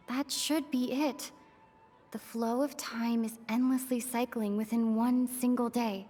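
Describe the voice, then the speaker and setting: A woman voicing a pensive young adventurer heroine in a video game, musing quietly to herself. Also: on the high side, steady tone, slow pace, long pauses, faint music behind. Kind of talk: video game character dialogue.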